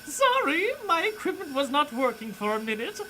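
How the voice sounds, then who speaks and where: Woman as a shrill, giddy mad scientist. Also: very animated, normal speed, pushed and bright, a little hiss or hum, full character voice. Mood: cloying, menacing. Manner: theatrical, cackling, stilted formal phrasing. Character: giddy, scheming male mad scientist, cloying and fiendish. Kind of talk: comic radio play acting.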